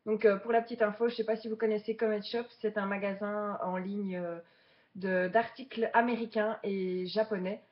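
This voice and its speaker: A woman's voice: medium pitch, level tone, normal speed, lo-fi sound. A woman of twenty-seven from Belgium, chatting casually to viewers as a beginner vlogger during an unboxing. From Belgium, Charleroi region.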